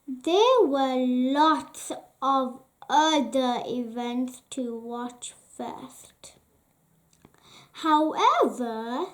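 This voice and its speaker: Woman reading a kids storybook, really high voice, super slow n careful w lots of pauses. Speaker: children's storybook reader, channel host. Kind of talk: read-aloud children's story narration.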